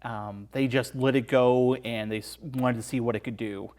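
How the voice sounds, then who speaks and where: A man's voice: medium pitch, fairly even intonation, normal speed, a little mellow. A man as a podcast guest, casually discussing a film and explaining things in a conversational way.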